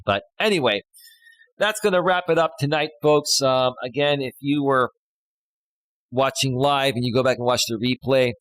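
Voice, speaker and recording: A loud-voiced man in his thirties talks to his live-stream viewers in a loose, rambling way, full of fillers and "right?" tags. His pitch sits in an ordinary middle range. He is close on a studio mic in a dry space with no room sound. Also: moderate pace, dry recording, poor audio. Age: 30s. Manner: chatty, filler-heavy, keeps adding "right?". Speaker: life coach hosting a live stream. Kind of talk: casual live-stream talk, reading chat posts. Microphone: studio dynamic microphone.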